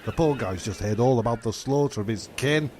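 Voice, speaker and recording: Comedy audio drama: male actor as sardonic, opinionated know-it-all mate, mid-pitched, exasperated, faint ambient noise with honking birds. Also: brisk, a little mellow, close to an everyday voice. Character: indignant, sardonic male mate named John, correcting others.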